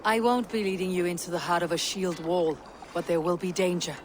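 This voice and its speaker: A woman playing a stern, confident Viking chieftain in a video game, speaking in a measured, commanding way. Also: on the low side, a little hiss or hum, lightly acted. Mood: firm. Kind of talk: dramatic video game acting.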